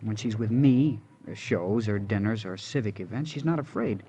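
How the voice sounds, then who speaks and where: An actor playing a gentle, devoted young drifter in old radio drama, talking simply, earnestly and hesitantly. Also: male voice, lowish voice, moderate pace, close to an everyday voice. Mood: earnest, halting. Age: young adult. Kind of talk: 1940s-50s radio drama acting. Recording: noisy vintage radio recording.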